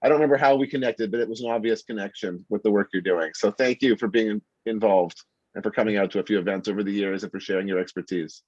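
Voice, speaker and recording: A man's voice: fairly low pitch, fast, a little mellow, no room sound. An earnest man introduces a speaker over a video call, his words broken by fillers and restarts. His sound is dull and muffled, in a very dry space. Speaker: host. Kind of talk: online lecture introduction.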